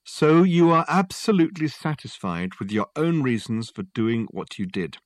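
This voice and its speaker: A man's voice: medium pitch, sing-song, moderate pace, dry recording, voice pushed up, lightly acted. A man voicing a pompous, theatrical detective in a storybook, with elaborate, insistent phrasing. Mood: probing. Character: pompous, theatrical, probing male private detective. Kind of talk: audiobook character dialogue.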